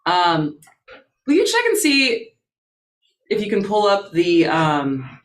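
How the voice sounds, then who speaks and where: A woman's voice: lowish voice, huge pitch swings, slow, poor audio. A woman with an American accent hosting live talk radio in a casual, bantering style. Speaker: radio show host.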